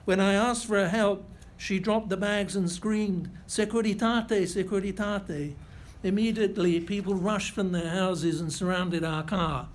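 An older man giving a lecture, reading out a first-hand account in a measured, precise way with a few self-corrections. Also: high voice, steady tone. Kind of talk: reading a prepared memoir-style lecture.

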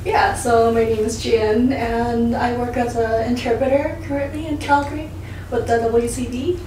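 Soft-spoken Korean-born woman, echoey room. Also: a little high, fairly even intonation, moderate pace, slight background noise. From South Korea. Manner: soft-spoken, hesitant, frequent ums. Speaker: guest interpreter. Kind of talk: casual interview answers. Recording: echoey room, mic at a distance.

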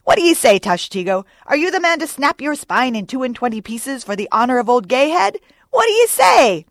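A woman puts on a bellowing, rowdy male whaling officer from an audiobook. The voice is pushed high and loud, swooping widely as he taunts and goads his rowers. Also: big pitch swings, a little fast, no echo. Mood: indignant, rousing. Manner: forceful, exclamatory, taunting, swooping. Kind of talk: audiobook character voice.

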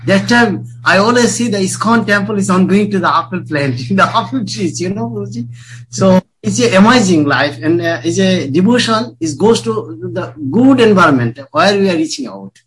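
Bengali accented guy, slightly high voice, halting devout sharing in a class, non-native phrasing. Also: lively intonation, fairly bright tone, poor audio. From Bangladesh. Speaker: class participant, devotee. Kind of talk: personal sharing in group discussion.

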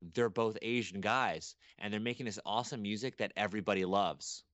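A young man from Canada, a guest chatting casually on a podcast, rambling and animated with many restarts. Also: fairly low pitch, a little fast, dry recording. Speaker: guest archaeologist.

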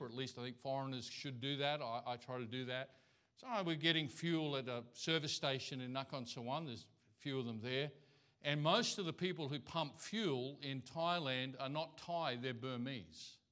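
A man's voice: medium pitch, a bit bright. A man from Australia with an Australian accent, a missionary preacher telling a story in a sermon, talking conversationally with lots of fillers and restarts.

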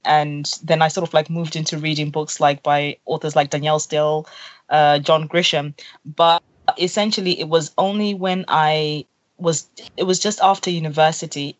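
A woman's voice: low-pitched, no room sound, highs cut off. A young woman from Zimbabwe explains her path in a podcast interview, earnest and full of little fillers. The sound is dry and close, with no room around it. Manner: earnest, lots of fillers and "literally". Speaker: co-founder guest. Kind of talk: interview conversation.

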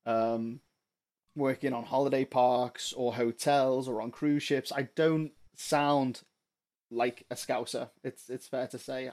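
A man's voice: mid-range voice, darkish tone. A man in his thirties with a mild Liverpool accent, talking earnestly and reflectively as a guest, with plenty of ums and restarts. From England. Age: 30s. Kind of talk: casual podcast chat.

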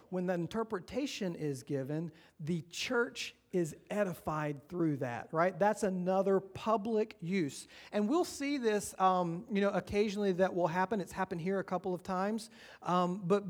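A man's voice: high voice, moderate pace. A man with a Southern American accent preaching a sermon to a church, talking in a conversational way and often asking the congregation questions. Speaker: pastor.

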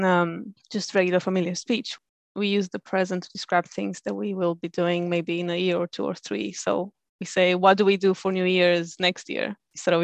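A woman's voice: lowish voice, creaky, no room sound. A woman whose first language is Romanian, adding casual remarks in a podcast conversation.

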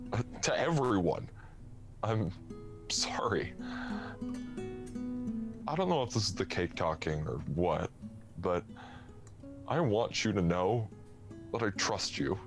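A man acting an earnest, awkward, apologetic man in a comedy radio play, speaking haltingly. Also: sits low. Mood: remorseful, halting, then earnest, grateful. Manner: halting, hesitant.

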